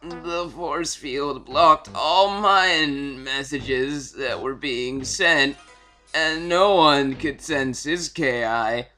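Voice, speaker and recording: A man voicing a gloating, impatient alien warrior villain in a theatrical, drawn-out way, as in a fan fiction reading. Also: fairly high pitch, pushed and bright, faint music behind, heavily acted. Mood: smug, impatient.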